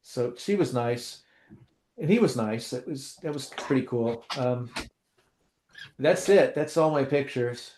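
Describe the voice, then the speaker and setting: A man in a casual chat, telling loose, self-deprecating stories about his day. He talks at an ordinary pitch, with false starts and restarts, and his voice carries a roomy echo. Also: animated, unhurried, darkish tone, a little hiss or hum. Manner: rambling, self-deprecating storytelling with many restarts. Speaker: co-host.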